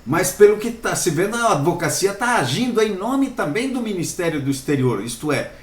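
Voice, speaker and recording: A man's voice: sits high, big pitch swings, a little fast. Hesitant male interviewer, echoey room. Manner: hesitant, restarts words while framing long questions. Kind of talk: interview questions.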